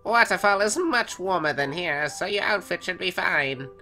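A man's voice: high voice, expressive, normal speed, bright, forceful tone, faint music behind, heavily acted. A man voicing an earnest, devoted younger skeleton brother in a comic dub, with dramatic, formal delivery. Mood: touched, grateful. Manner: dramatic, emphatic, formal wording. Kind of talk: animated comic dub acting.

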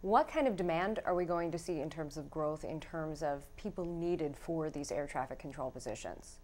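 A woman in her thirties with a distinctly low voice works as a television interview host. Her delivery is polished broadcast style, recorded on a studio mic. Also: normal speed, a little mellow. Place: indoor TV studio.

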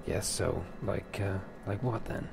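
A man roleplays through a video game in a very low, gravelly voice. He mutters and halts as he feels his way through each line, with loud music playing behind him. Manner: hesitant, halting, muttering. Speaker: let's play roleplayer. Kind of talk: improvised in-character roleplay over a video game.

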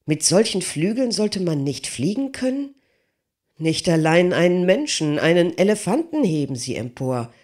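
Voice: mid-range voice, sing-song, normal speed, pitched above the performer's own voice, lightly acted. A reader putting on a desperate giant blacksmith. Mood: pleading. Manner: raised, loud, desperate pleading. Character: clumsy giant male blacksmith, childlike and pleading.

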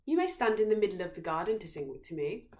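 A woman's voice: on the low side, very animated, fast, a little mellow. A woman voicing a haughty, lovestruck young princess in a storybook, exclaiming dramatically. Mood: imperious, charmed.

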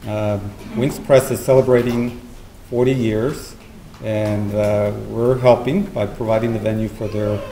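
A middle-aged man giving formal welcome remarks and thanks at a podium, in a halting, measured way. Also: lowish voice, flat delivery, dark tone. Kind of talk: formal public speech with thanks and introductions. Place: large meeting hall with seated audience, indoors. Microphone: podium gooseneck microphone. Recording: roomy auditorium sound, faint noise.

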